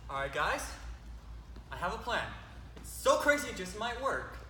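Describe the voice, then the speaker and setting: A woman's voice: mid-range voice, big pitch swings, moderate pace, bright, forceful tone. A woman acting a bossy, scheming survivor with crazy plans in an amateur stage play recorded in a hall. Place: indoor stage performance, recorded live. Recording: echoey hall stage recording, faint noise.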